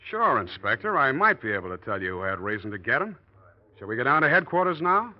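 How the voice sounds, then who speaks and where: A man's voice: medium pitch, sing-song, fast, music in the background, close to an everyday voice. A man playing a slick, scheming killer who feigns innocence in a 1940s radio drama, glib and evasive. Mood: feigned surprise. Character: slick, scheming murderer feigning innocence, nervous under questioning. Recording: dull, muffled old radio recording.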